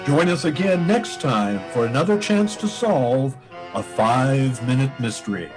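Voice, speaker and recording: A man's voice: medium pitch, expressive, a little slow. A man announcing programs in an old-time radio style, with music behind him.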